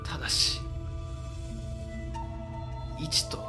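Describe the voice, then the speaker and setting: An actor playing a gentle, doomed young samurai lord and husband in a period voice drama, with formal warrior speech. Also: male voice, extremely slow, loud music behind the voice. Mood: resolute.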